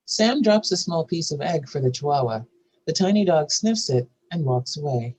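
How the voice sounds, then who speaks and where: A man's voice: fairly high pitch, lively intonation, normal speed, a little mellow, low-quality recording. A man narrating screenplay stage directions at a table read.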